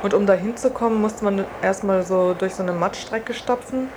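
A woman's voice: medium pitch, normal speed, darkish tone. A woman reporter giving an informal first-person account of her own experiences. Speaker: reporter and test participant. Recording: faint background ambience and noise.

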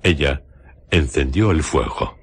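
A man narrating a radio drama as a solemn storyteller, in deliberate, carefully separated literary phrases. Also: a bass voice, animated, husky, heavily acted. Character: solemn, measured male storyteller.

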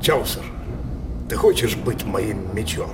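An actor playing a scheming, depraved king in a theatrical radio drama, declaiming imperiously with teasing pauses. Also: lowish voice, animated. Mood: commanding, tempting. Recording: studio radio play over loud music.

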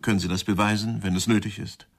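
A police inspector in a radio crime play. His voice is fairly low and husky, and he speaks in a polite, measured, official manner. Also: steady tone. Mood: courteous, businesslike. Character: courteous, businesslike male police inspector of Scotland Yard. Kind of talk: German radio crime drama acting.

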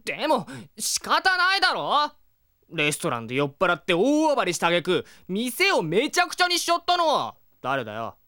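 A woman's voice: medium pitch, huge pitch swings, moderate pace, pushed and bright, dry recording, studio quality. A woman voicing a wry, long-suffering boyish sidekick in a Japanese comedy audio drama, blunt and teasing. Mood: exasperated.

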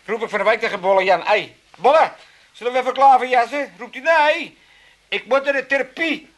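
Blustery old amsterdam dad in a radio comedy, high bright voice, rambles and stammers, feels sorry for himself. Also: male voice, brisk. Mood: gleefully mischievous. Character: cheeky, self-pitying elderly father from a retirement home. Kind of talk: 1980s Dutch comedy radio play acting.

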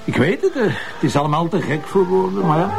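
A man's voice: fairly high pitch, big pitch swings, quick pace, creaky, over music. A man with a Flemish accent playing a jovial, teasing police commissioner, talking informally in a television crime drama. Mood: playful. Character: jovial, teasing police commissioner hosting dinner among colleagues.

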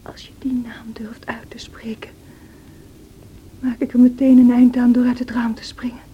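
Feverish, high-voiced young woman. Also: flat delivery, highs cut off. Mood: bitter, defiant. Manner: breathy, slow, anguished delivery. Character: feverish young married woman, wild, distraught, defiant. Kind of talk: radio drama acting. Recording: noisy old radio play recording.

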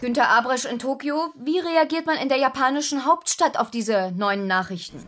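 An actress playing an earnest, slightly flustered news presenter in a German radio drama, speaking formally with hesitant fillers. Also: female voice, sits high, normal speed, fairly bright tone, slight background noise. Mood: concerned.

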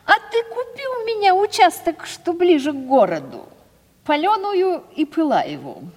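A woman's voice: very high voice, big pitch swings, unhurried, a little mellow, full character voice. Haughty, swooping aging lady landowner. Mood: gracious, coaxing. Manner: theatrical, imperious, emphatic. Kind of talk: 1970s Russian radio play acting. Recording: reverberant 1970s radio play recording.

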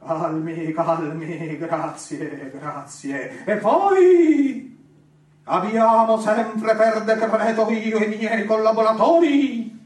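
A grandiose, self-adoring dictator general on a theatre stage. The oratory is extremely slow and halting, high in pitch, with sudden swells, heard from far off in an echoing space. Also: male voice. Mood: falsely humble. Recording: echoey theatre stage, distant microphone.

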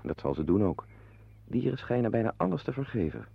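A man's voice: fairly low pitch, big pitch swings, fairly quick, creaky, slightly rough, full character voice. An actor playing a wry, brooding henpecked husband in a Dutch radio play, confiding his musings to the listener. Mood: coaxing. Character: wry, brooding henpecked husband, animal-loving former artist. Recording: muffled, band-limited old radio play recording.

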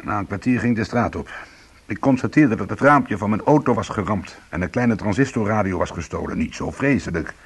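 Deep husky crook, bitter n sardonic, combative, radio drama style. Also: male voice, lively intonation, a little fast. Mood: bitter, wry. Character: bitter, defiant small-time ex-convict, protective of his brother. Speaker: lead actor and first-person narrator. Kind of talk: Dutch radio play acting and narration.

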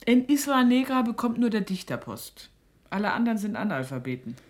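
An actress playing a suspicious, sharp-tongued middle-aged mother who interrogates sternly and sardonically in a radio play. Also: on the high side, very animated, fairly quick. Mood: sardonic, insistent.

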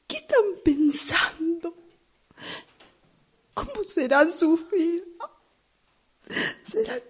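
Radio play: actress as brooding, judgmental female commuter, Rioplatense accent, high register, unhurried dramatic musing. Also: exaggerated voice. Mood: tearful. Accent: Rioplatense Spanish. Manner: dramatic, slow, musing monologue. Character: judgmental woman waiting for a train, brooding over passers-by. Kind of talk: radio drama monologue workshop exercise.

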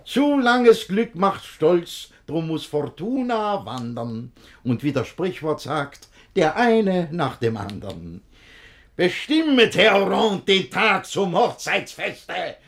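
An actor playing a scheming, smug middle-aged tyrant in a rhymed-verse radio play, declaiming emphatically. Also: male voice, high-pitched, big pitch swings, takes it slowly, full character voice. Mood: gloating.

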